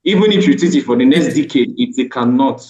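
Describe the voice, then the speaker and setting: A man's voice: medium pitch, expressive, quick pace, a little mellow. A man in a panel debate, talking emphatically and often adding "right?". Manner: emphatic, with frequent "right?" tags. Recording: dull, echoey room.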